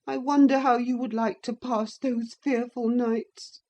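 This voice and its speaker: A woman's voice: fairly high pitch, steady tone, unhurried, darkish tone, dull audio, voice pushed up. A woman performs a sickly young boy in a classic novel reading. He is pettish, spiteful and full of self-pity, whining in a raised voice.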